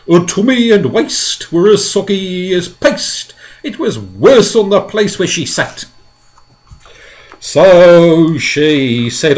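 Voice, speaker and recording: A man voicing a boisterous, cheeky male centipede, loud and sing-song. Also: on the high side, pitched above the performer's own voice.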